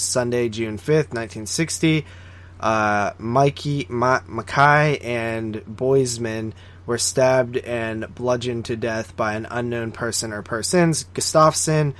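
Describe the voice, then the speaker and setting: A man with a middling pitch talks as a podcast co-host. His delivery is informal and conversational, like someone going over films with a friend. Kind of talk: casual film review chat.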